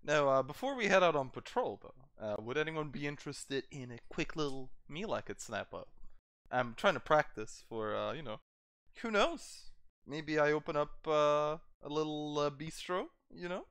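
A man's voice: a little high, lively intonation, moderate pace, fairly bright tone, dry recording, clean studio sound. A man playing a tabletop game, chattily improvising in character in a casual way.

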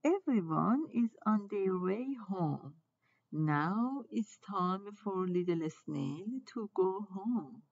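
A woman reading a children's picture book aloud in simple, deliberate phrases for young listeners. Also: medium pitch, lively intonation, creaky, a little mellow, highs cut off.

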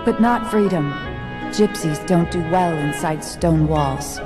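A woman's voice: lowish voice, slow, long reverb tail, over music. An actress playing a kind, proud, free-spirited young woman in a video game. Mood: wistful.

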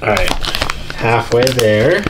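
A man with a mid-pitched voice gives casual, informal commentary as the host of an unboxing video. He talks in an easygoing way at a tabletop indoors, with clear background noise and crinkling packaging behind him. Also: animated, takes it slowly. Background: crinkling plastic bags, clicks.

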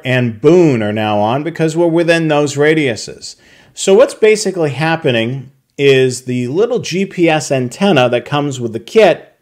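A man narrating a slide tutorial, explaining a technical process step by step with occasional fillers. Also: medium pitch. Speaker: product tutorial presenter.

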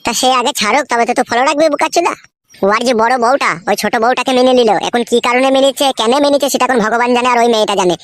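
A man's voice: very high-pitched, very fast, a bit bright. A man voicing a brash, foul-mouthed young cartoon villager with a rural West Bengal accent, grumbling in crude comic banter. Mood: scheming banter.

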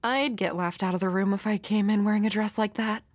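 A woman's voice: mid-range voice, fairly even intonation, a little fast, no room sound, lightly acted. A woman playing a nervous, dorky young woman with a crush in an intimate roleplay audio, hesitant, stammering and apologetic. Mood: bashful.

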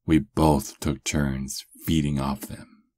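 Very deep, slow vampire lover played by a man. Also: level tone, no echo, studio quality, exaggerated voice. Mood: pleased storytelling. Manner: slow, intimate murmuring with soft chuckles. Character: doting, teasing male vampire lover, affectionate and possessive. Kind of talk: ASMR romantic roleplay. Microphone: close microphone, dry.

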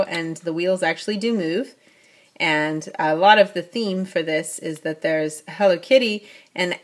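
A middle-aged woman casually narrating a toy unboxing at a tabletop, chatty and full of fillers. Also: deep voice. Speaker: toy review vlogger. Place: at a tabletop, off camera.

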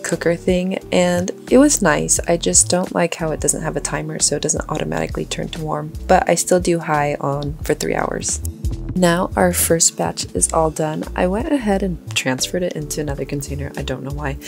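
Low, gravelly young woman chatting. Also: moderate pace, faint music behind. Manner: relaxed, chatty, trailing off with likes. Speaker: vlogger. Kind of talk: casual meal prep voice-over. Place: home kitchen.

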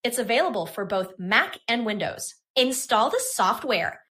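A woman presenting a software tutorial in scripted, instructional, step-by-step narration. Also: fairly high pitch, animated, normal speed.

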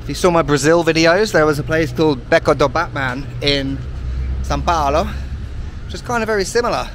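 A man's voice: on the high side, fairly quick, a bit bright. A man from the UK giving casual, wry commentary as he walks outdoors through city streets. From United Kingdom. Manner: chatty, wry, self-deprecating asides. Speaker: travel vlogger. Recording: faint outdoor street noise. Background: faint street and traffic noise.

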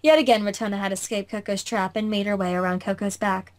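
Woman reading out visual novel narration, amateur dub, mid pitch, storyteller style, stumbles a bit. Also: steady tone, quick pace. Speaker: fandub narrator.

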